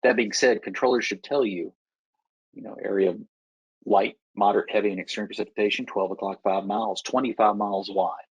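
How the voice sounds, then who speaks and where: An American man working as an air traffic controller, explaining procedures in a measured, instructive way with deliberate pauses. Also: lowish voice, fairly even intonation, husky, dry recording, highs cut off. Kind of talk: explanatory Q&A talk to pilots.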